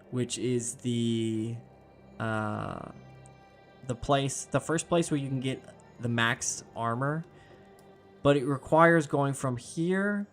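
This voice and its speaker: A young man, hoarse and losing his voice, chatting casually with viewers while streaming a game. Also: mid-range voice. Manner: chatty, talks to viewers by name. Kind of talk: casual live-stream chat.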